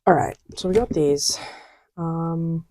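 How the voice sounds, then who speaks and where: A woman playing a playful girlfriend in an intimate ASMR roleplay, rambling casually and giggly, often dropping to soft murmurs close to the microphone. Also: low voice, steady tone, takes it slowly, dry recording. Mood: murmuring, absorbed. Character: giggly, playful girlfriend testing markers on boyfriend's lap.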